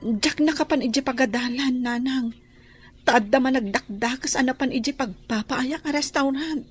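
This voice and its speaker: A woman's voice: high voice, moderate pace, breathy edge, faint music behind. A woman with a Filipino accent playing an earnest young daughter in a radio drama.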